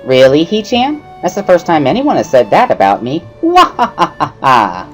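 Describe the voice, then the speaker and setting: A woman puts on a bubbly schoolgirl tutor from a visual novel, pitched up and swooping with enthusiasm. She is perky and pushy, giggles readily and leans hard on her words. Music plays behind her. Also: huge pitch swings. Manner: giggly, perky, emphatic, with drawn-out laughs. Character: bubbly, pushy schoolgirl, earnest amateur sign language tutor.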